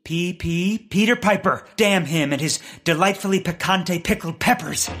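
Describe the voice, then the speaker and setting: A woman's voice: lowish voice, normal speed, fairly bright tone, lightly acted. A woman playing a flustered, silly, rambling man, an amateur sleuth in a comic audio drama. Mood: indignant, silly. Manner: hesitant, rambling.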